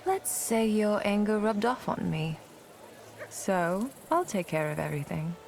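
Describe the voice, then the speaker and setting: A woman's voice: mid-range voice, lively intonation, a little slow, darkish tone. An actress playing a shrewd, wry and confident female conspirator in a video game. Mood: sly. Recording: clear ambient noise behind voice.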